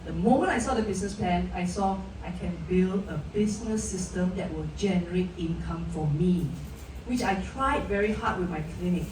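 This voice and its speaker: A woman's voice: fairly low pitch, moderate pace. A middle-aged woman from Malaysia, a guest speaker telling her personal story at a live event, earnest, with many restarts. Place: event hall. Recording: distant echoey hall mic, noisy.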